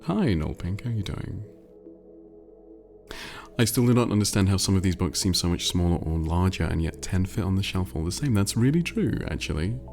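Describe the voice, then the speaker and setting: A man with a nasal, congested voice from a cold, chatting casually on a livestream close to the mic and reading viewer messages aloud in a relaxed, rambling way. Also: low voice, normal speed, vocal fry, faint music behind. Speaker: livestream host.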